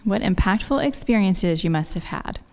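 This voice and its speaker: A woman's voice: mid-range voice, animated, normal speed, darkish tone. A woman hosting a scripted interview show, asking polite, formal questions, recorded in an echoey hall. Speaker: show host.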